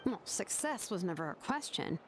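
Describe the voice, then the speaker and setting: An actress voicing a calm, matter-of-fact woman engraver in a game, over loud music. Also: medium pitch, normal speed, noisy background, natural voice. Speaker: name engraver.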